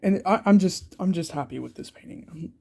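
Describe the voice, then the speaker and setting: A man's voice: high-pitched, expressive, fairly quick, gravelly, a little mellow, husky. A young man with a cold, sounding congested, talking through his art sketch in a hesitant way with many ums and restarts. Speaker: art channel creator. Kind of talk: casual art vlog narration while sketching.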